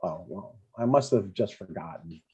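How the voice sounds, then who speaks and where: An older man with a husky voice playing a blunt, easily annoyed but caring dad in an informal comedy table read. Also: low-pitched, lively intonation, moderate pace, a little mellow, muffled recording, exaggerated voice. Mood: defensive.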